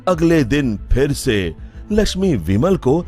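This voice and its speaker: Slow, expressive male storyteller. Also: a little high, soft background music. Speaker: narrator. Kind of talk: scripted storytelling narration.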